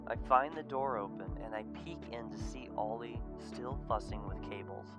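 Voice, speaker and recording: A man reading a visual novel live in a soft, quiet narration, chatting with viewers between lines. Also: medium pitch, moderate pace, loud music behind the voice.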